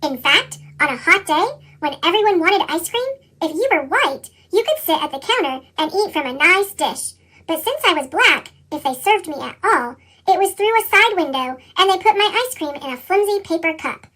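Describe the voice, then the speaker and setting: A reader with a squeaky, pitched-up chipmunk effect, narrating a children's picture book and acting out the dialogue expressively. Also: moderate pace, full character voice. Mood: earnest storytelling. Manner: expressive storytelling, acts out dialogue.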